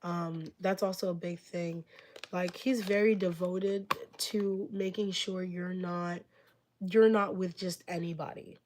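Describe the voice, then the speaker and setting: A woman's voice: fairly low pitch, fairly even intonation, slow, a little mellow, a little hiss or hum. A woman reading tarot on a live stream, rambling with many filler words and losing her voice from being sick. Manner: gushing thanks to viewers. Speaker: tarot reader.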